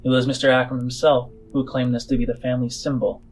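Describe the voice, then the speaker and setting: Man voicing a deliberate, formal alien woman power broker. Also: mid-range voice, little pitch movement, slight background noise, lightly acted. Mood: grave, deliberate. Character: shrewd, measured female alien informant and power broker.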